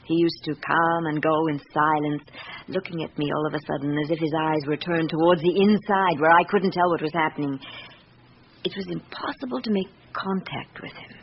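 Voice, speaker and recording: A wounded, middle-aged British wife confides her grievances in a radio drama. She is candid and reflective, choosing phrases deliberately. The old recording is muffled, with slight noise. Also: sits low. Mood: bitter, reflective.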